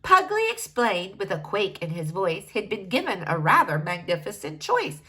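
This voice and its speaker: A woman reading a rhyming children's story aloud in an expressive narrating style. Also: medium pitch, moderate pace. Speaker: storybook reader.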